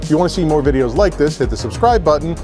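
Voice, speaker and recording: A man giving an energetic, promotional sign-off at the end of his video. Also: on the high side, fast. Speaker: channel host. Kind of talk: upbeat video outro. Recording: voice over loud background music.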